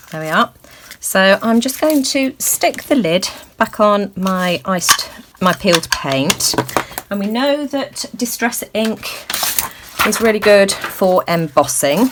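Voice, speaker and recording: Woman host, croaky, chatty craft demonstrator, mid-pitched, faint room hiss. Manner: chatty, thinks aloud, frequent ums. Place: indoors at a craft table.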